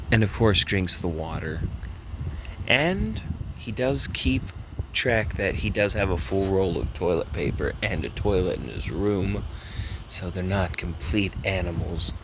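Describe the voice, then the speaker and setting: A man narrating an amateur horror story in a measured storytelling manner, with slight stumbles. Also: low voice, background noise. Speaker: narrator and voice actor of a fan horror dub.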